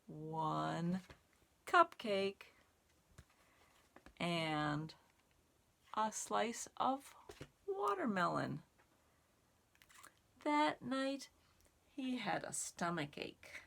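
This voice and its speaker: A woman reading aloud to young children in simple, expressive, word-by-word phrases. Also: mid-range voice, very slow, gravelly, long pauses. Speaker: storytime reader and sign language teacher. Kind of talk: children's read-aloud and sign lesson.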